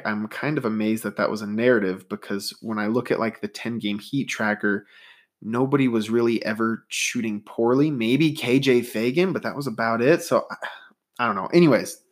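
A man hosting a solo sports podcast, talking in a rambling, conversational way with a stuffy nose. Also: mid-range voice. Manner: conversational, rambling, data-minded. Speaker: podcast host.

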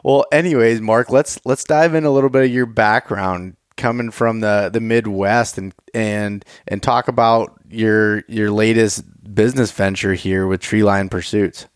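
A man's voice: lowish voice, steady tone, a little slow, few pauses, smooth, dry recording, studio quality. An American man hosting a podcast in a casual, conversational style. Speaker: podcast host. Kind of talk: casual chat.

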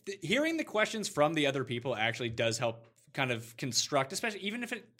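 Animated, bright-voiced podcast host. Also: male voice, a little high, fast. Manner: animated, plays with mock French voices. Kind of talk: casual sports chat.

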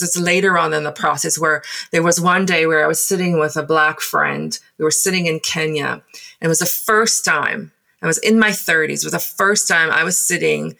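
A South African woman in her forties whose first language is Afrikaans, speaking in English and telling her own story in a thoughtful, halting way with many pauses and fillers. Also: low voice, creaky, sharp tone. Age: 40s. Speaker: guest. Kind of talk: reflective personal storytelling.

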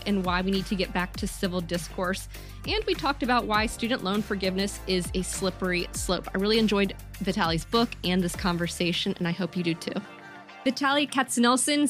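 A woman's voice: mid-range voice, fairly bright tone. A woman hosting an interview show, reading an upbeat intro and then asking long questions filled with "um" and "you know". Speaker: podcast host.

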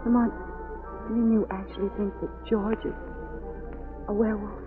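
A woman plays an inquisitive, excitable lady sidekick in an old radio mystery. Her delivery is urgent and melodramatic at a medium pitch. The recording is thin and phone-like, with music. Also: normal speed, lightly acted. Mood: incredulous. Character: inquisitive, excitable glamorous lady companion to a sleuth. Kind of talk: 1940s radio acting.

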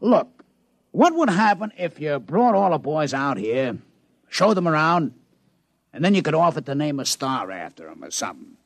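An actor playing a brash, streetwise American casino owner who talks in slangy wisecracks, in old-time radio drama style. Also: male voice, on the high side, sing-song, moderate pace, no echo. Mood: matter-of-fact, friendly.

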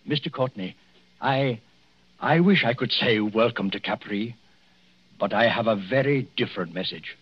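An actor playing a grave, formal English doctor, hesitant and stiffly courteous, in 1940s radio style. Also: male voice, mid-range voice, animated, normal speed, slightly rough. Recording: dull, muffled 1940s radio recording.

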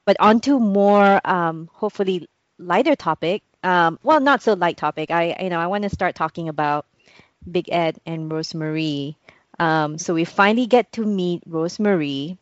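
A woman from Singapore talks in a lowish voice, hesitant and often restarting her sentences. The sound is dry and dull. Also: moderate pace, no room sound. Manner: hesitant, many restarts and fillers. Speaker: podcast co-host. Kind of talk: casual chat.

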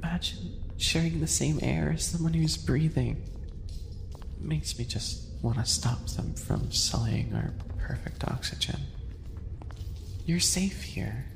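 A man playing a sly, sadistic animal-boy maid in a roleplay ASMR audio, hushed, teasing and condescending. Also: a little high, a little slow, soft background music. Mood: possessive, sinister calm. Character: sly, smug, sadistic male animal-person maid yandere. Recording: long reverb, clearly noisy ambience.

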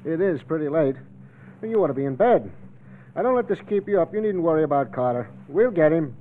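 Actor as high-pitched folksy cop, old radio. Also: male voice, expressive, a little fast, close to an everyday voice. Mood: folksy, probing. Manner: polite, plain-spoken. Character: folksy, courteous police officer hunting an escaped killer. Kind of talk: old-time radio drama acting. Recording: tinny vintage radio recording.

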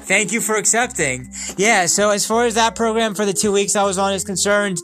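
An American man hosts a casual video call, speaking slowly and deliberately and often repeating himself. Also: high voice, a bit bright, soft background music. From United States. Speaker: host.

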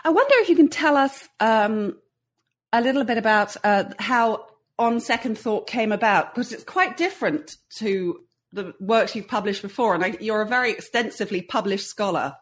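A woman's voice: on the high side, lively intonation, normal speed. A woman hosting a podcast, introducing and interviewing a guest.

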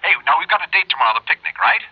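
An actor with an American accent playing an eager, generous suburban neighbor heard through a telephone in a radio drama. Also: male voice, fairly high pitch, sing-song, rapid-fire, breathy edge, dry recording. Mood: excited. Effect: telephone filter. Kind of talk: radio drama phone dialogue. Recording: dry, dull telephone-style radio recording.

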